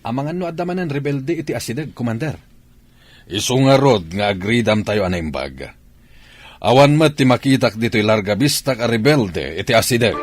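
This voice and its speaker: A man with an Ilocano accent playing a gruff army commander in a radio drama. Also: medium pitch, expressive, moderate pace, lightly acted. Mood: commanding, wary. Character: gruff male army commander giving orders.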